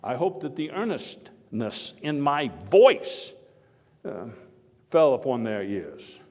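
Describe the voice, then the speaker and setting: An actor playing an earnest, folksy statesman orator of early 1900s America in a theatrical first-person speech, emphatic but given to halting digressions. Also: mid-range voice, big pitch swings, a little mellow. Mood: reverent. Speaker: living-history performer. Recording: echoey hall with long reverb.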